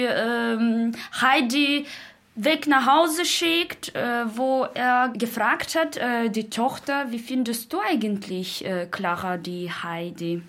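High-voiced young woman, halting, non-native speaker. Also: fairly bright tone. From Uzbekistan. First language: not German. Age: 20s. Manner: halting, searching for words. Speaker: interviewee retelling a film. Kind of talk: unscripted spoken retelling.

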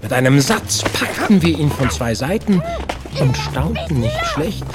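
A man playing an earnest period investigator who narrates his own case in a measured, literary audio drama style. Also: fairly high pitch, huge pitch swings, over music. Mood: suspenseful narration.

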